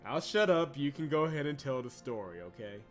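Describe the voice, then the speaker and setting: A man's voice: mid-range voice, fairly quick. Chatty man streaming over game music. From Georgia, US. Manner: chatty and animated, laughs often, reads game text aloud. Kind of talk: casual game stream chat. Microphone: desk mic. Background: game soundtrack music.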